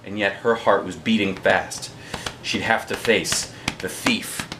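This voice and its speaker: A man's voice: on the low side, measured pace, noisy background. A man reading a picture book aloud as a dad would, with dramatic rhythmic phrasing and casual asides to children.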